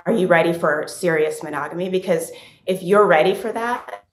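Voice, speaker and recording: A woman guest on a video call, talking with wry, deadpan humor from a room at home. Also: lowish voice, normal speed, echoey room, slight background noise. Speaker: guest comedian. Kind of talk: casual chat.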